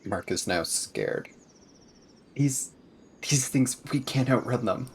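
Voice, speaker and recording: A man's voice: medium pitch, animated, slow, slight background noise. A man playing a tabletop roleplaying game, improvising his character's words and actions in casual talk.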